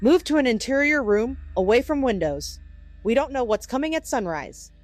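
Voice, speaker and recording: Female news anchor played by an actress: grave, careful, slightly high voice, emergency bulletin delivery over music. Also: expressive, moderate pace, lightly acted. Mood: grave, measured. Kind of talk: scripted breaking news broadcast acting.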